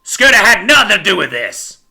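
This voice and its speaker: A man voicing a seething, forceful old-boy badger. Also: fairly high pitch, very animated, normal speed, creaky, breathy edge, voice pushed up, full character voice. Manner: seething, commanding, rustic speech. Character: domineering, hot-tempered badger father, male. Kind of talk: dramatic storybook character voice.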